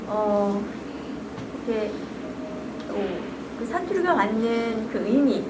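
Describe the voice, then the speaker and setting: A middle-aged woman with a fairly high voice speaks in halting, hesitant phrases, often restarting her sentences. She gives congratulatory remarks at a book launch held in a library hall. The room echoes, and loud noise sits behind her. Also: animated. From Chungcheong, Korea. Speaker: guest speaker.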